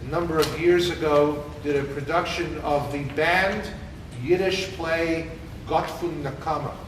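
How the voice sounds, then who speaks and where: A man, a rabbi, giving an informal welcoming speech, halting and building up with repetition. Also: fairly high pitch, steady tone. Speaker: rabbi introducing the event. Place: temple hall, distant camera mic. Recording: echoey hall, distant mic, clear background noise.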